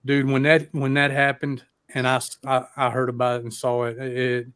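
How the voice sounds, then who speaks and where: A man with an ordinary mid-pitched voice hosts a live stream from home, talking loosely and cursing often. He rambles, restarts his sentences and gets excited about the music he loves. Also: normal speed, clean tone. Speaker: podcast host. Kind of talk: casual live-stream chat.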